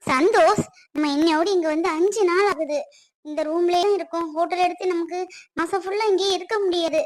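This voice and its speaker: A woman's voice: extremely high pitch, fairly even intonation, brisk. A young adult woman playing a frightened young woman hiding in a rented room, with a timid, pleading way of talking. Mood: fearful, pleading. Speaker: young woman who ran away from home with her partner.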